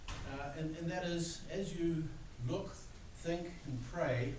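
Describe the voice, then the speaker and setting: An older man with a New Zealand accent giving a relaxed, joking lecture to students, with plenty of "uh" fillers. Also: fairly high pitch, slow. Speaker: guest speaker. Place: large room. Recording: echoey room recorded from far off, noisy.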